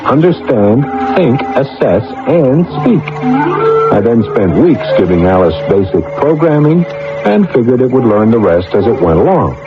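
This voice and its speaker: A man's voice: mid-range voice, very animated, moderate pace, loud music behind the voice, lightly acted. A man playing an earnest, easily flustered inventor in a 1980 radio drama, hesitant and explanatory in his way of talking. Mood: reflective narrating. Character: earnest, flustered male inventor and computer scientist. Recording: dull lo-fi 1980 radio recording, faint noise.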